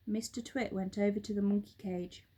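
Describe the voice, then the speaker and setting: A woman reading a children's story aloud in an expressive narrating style. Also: mid-range voice, moderate pace. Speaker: storybook reader, teacher.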